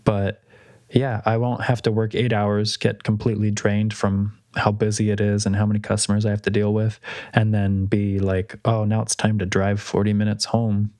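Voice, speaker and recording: A young man co-hosts a casual podcast, talking in a steady, measured way. His voice sits a bit low. The sound is dry with no room echo. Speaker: podcast co-host. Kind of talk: casual chat.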